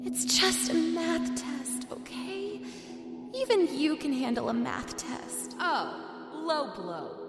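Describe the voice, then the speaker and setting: A woman's voice: high-pitched, animated, slow, heavily acted. A woman playing bickering teenage twin sisters in an audio drama, sarcastic and exasperated, in a roomy reverberant recording. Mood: grudging. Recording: roomy long reverb under loud music.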